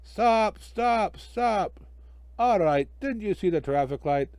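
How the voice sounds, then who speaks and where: A man voicing a gruff, scolding traffic cop in a children's storybook, exasperated and blustery. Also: high-pitched, lively intonation, slow, darkish tone, dry recording, pitched above the performer's own voice, exaggerated voice. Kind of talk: amateur children's storybook reading.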